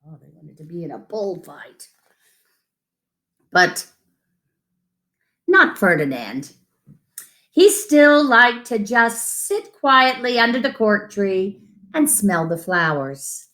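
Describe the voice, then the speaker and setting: A woman reading a picture book aloud to children in an expressive, gentle, deliberate storytelling manner, with asides about the pictures. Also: medium pitch. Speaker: children's story-time reader.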